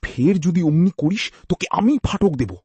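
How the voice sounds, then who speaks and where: Casting: irritable storybook judge done by a male narrator, curt and scolding, pitched up, slightly husky. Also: lively intonation, normal speed, a little mellow, dry recording, heavily acted. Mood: angry. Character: stern, irritable male judge in a village courtroom.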